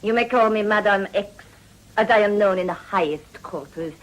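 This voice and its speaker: Actress doing a proud belgian brothel madam for radio, cool and haughty, won't be rattled. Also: female voice, mid-range voice, normal speed, close to an everyday voice, poor audio. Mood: proud, defiant. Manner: haughty, self-possessed. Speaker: actor in a radio drama. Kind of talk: radio drama acting.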